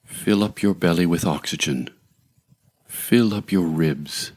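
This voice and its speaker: A man narrating a scripted guided meditation in a measured, soothing, deliberate way, with long pauses. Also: low-pitched, a little mellow, husky.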